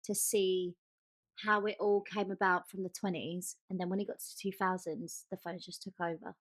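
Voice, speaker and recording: A woman with an English accent chatting casually as a podcast co-host, telling stories in an animated, chatty way and acting out quotes. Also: lowish voice, normal speed, no echo. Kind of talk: casual chat.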